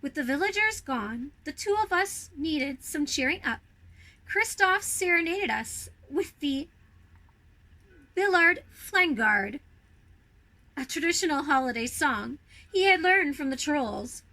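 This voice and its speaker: Very bright, animated high-voiced woman storyteller. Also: takes it slowly, rough recording. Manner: playful, expressive, addresses young listeners. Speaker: costumed character storyteller reading to children. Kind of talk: animated children's storybook reading.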